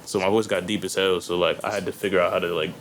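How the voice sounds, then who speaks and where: Young man rambling with a deep voice. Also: little pitch movement, quick pace, fairly bright tone, a little hiss or hum. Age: young adult. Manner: loose, rambling, heavy slang and fillers. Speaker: guest rapper. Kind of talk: casual chat.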